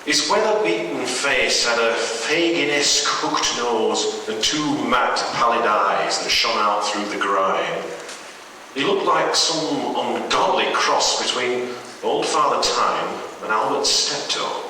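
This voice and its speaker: Slow, sharp-voiced man in an echoey hall. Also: medium pitch. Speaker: radio show host reading a story. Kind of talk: dramatic horror story reading. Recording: noisy, echoey hall, distant from mic.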